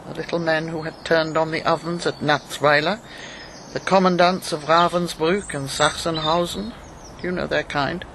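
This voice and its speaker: A steely older woman, once a wartime agent and Nazi-hunter. She speaks with grave, measured control in naturalistic radio drama style. Birdsong and ambient noise sit clearly behind her. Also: sits low, a bit bright.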